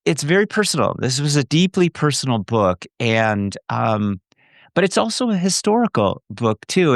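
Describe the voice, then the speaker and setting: A male podcast host reading a measured, emphatic introduction, then chatting with his guest. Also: mid-range voice, dry recording, studio quality. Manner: measured, emphatic rhetorical delivery. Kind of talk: scripted intro then interview chat.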